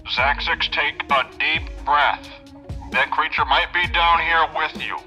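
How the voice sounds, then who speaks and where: A man acting an anxious, very sharp-voiced teammate. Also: fairly high pitch, steady tone, unhurried, soft background music. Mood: nervous, cautious. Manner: tense, urgent warnings and questions. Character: anxious, watchful teammate in a sci-fi escape. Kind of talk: sci-fi audio drama acting.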